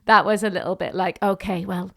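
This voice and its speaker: A woman with a Welsh accent, talking at a middle pitch. She chats freely as a guest and laughs often. She keeps starting her sentences over. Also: steady tone, fairly quick. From Wales. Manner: chatty, laughs often, many restarts. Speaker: guest, singer and actress. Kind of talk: casual conversational interview.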